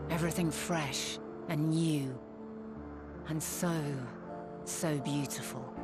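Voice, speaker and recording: An actress playing a wise ancient woman scholar, speaking slowly and solemnly with archaic phrasing in a game cutscene. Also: low-pitched. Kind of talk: voiced game cutscene dialogue. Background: orchestral game score.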